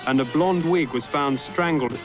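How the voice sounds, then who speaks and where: A man reading a radio news bulletin in a measured, formal way. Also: medium pitch. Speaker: newsreader. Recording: dull lo-fi radio with music behind.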